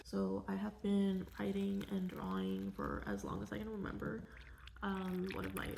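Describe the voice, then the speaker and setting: A young woman from Venezuela, a cartoonist, talking in a soft-spoken, rambling, candid way in a casual personal vlog. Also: medium pitch, creaky, slight background noise. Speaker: vlogger, cartoonist. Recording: echoey room.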